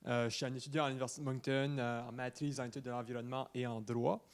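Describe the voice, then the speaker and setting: A man in his twenties with an Acadian New Brunswick French accent, giving an informal presentation at a meeting, often pausing mid-phrase. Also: medium pitch, little pitch movement, smooth. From Saint-Louis-de-Kent, New Brunswick, Canada. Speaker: student presenter to a municipal council.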